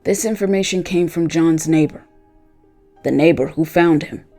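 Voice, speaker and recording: A narrator voicing a loyal pet dog who tells his own story of wanting revenge, in deliberate, dramatic scripted storytelling. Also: medium pitch, warm tone, faint music behind, natural voice. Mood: recounting.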